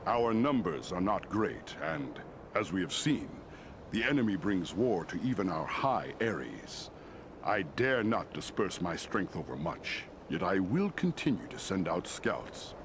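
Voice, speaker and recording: An actor playing a stately, wise lord of giant eagles in a fantasy game, speaking with regal formality. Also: male voice, low-pitched, sing-song, unhurried, noisy background, heavily acted. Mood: grave, measured. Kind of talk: fantasy game cutscene acting.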